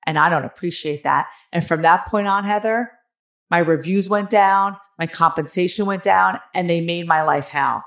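A woman in her forties with a raspy, nasal New Jersey accent, telling her own story candidly and emphatically as an interview guest on a video call. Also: lowish voice, moderate pace, fairly bright tone. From New Jersey, United States. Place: small room at home, on a video call. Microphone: headset on a video call.

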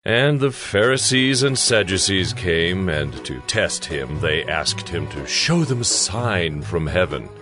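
A man's voice: low voice, animated, takes it slowly, hardly any pauses. A man reading scripted narration in a dramatized scripture production. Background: background music.

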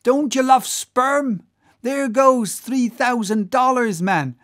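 An audiobook reader voicing an excitable, hot-headed whaling mate, frantic and begging in exclamations. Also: medium pitch, a little slow, voice pushed up, natural voice. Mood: frantic, pleading. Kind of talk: animated audiobook character voice.

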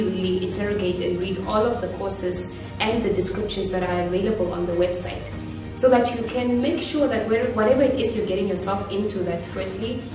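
A young adult woman from Namibia, a graduate giving a prepared testimonial to camera. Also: medium pitch. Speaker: master's programme graduate. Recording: echoey room, distant mic, music behind.

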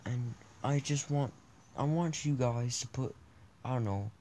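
Young male gamer host, Italian first language, rambly and self-deprecating, handheld mic, mid pitch. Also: measured pace, mellow, a little hiss or hum. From born in Spain, raised in Italy, France, Britain and Germany. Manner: rambling, joking, self-deprecating, many restarts. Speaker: gaming channel host. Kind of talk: casual thank-you vlog over gameplay. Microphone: handheld mic over screen capture.

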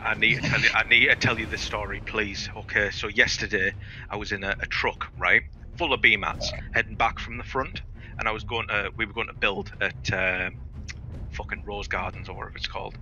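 A man's voice: fairly low pitch, steady tone, a little fast, pushed and bright. A man telling stories and bantering over gaming voice chat in a sweary, theatrical, bombastic way. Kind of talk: animated casual gaming banter and storytelling.

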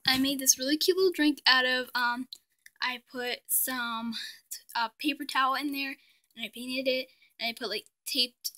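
A woman casually showing her doll house at home, chatty with lots of fillers, sometimes sinking to a whisper, recorded on a handheld phone. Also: high voice. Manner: chatty, many "like" fillers, drops to soft whispers at times. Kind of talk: casual show-and-tell narration. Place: indoors at home, near the dollhouse.

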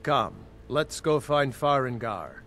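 An actor playing a decisive, authoritative lord of a hold in a fantasy video game, with a stately manner. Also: male voice, medium pitch, expressive, slow, a bit bright, a little hiss or hum. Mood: approving, businesslike. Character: commanding, decisive male Nordic-style fantasy jarl. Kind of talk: video game character dialogue.

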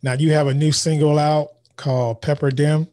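A relaxed man hosts an interview podcast. He asks questions casually with plenty of filler sounds, speaking into a studio microphone. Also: fairly high pitch, moderate pace. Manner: relaxed, many filler ums. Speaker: podcast host. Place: home studio. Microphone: studio mic with headphones.

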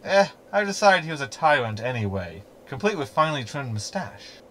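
A man's voice: medium pitch, very animated, moderate pace, a bit bright, slight background noise. A man playing a snarky, meddling hologram in a sci-fi sitcom audio drama, talking in a glib, verbose, sardonic way. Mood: teasing. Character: snarky, meddling male hologram ship companion.

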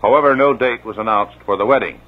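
A man reading the news and weather in a measured, formal 1950s radio style. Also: on the low side. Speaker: newscaster. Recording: dull old radio transcription.

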